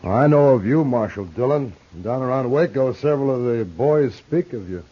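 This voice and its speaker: Insolent gunslinger villain played by a male actor, American, medium pitch, smooth and mock-courteous, muffled vintage radio. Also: animated, lightly acted. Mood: smoothly menacing. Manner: mock-polite, needling, drawn-out. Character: cold, smooth-talking, insolent Texas gunman, charming menace. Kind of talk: 1950s radio western drama acting. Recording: dull, muffled 1950s radio recording.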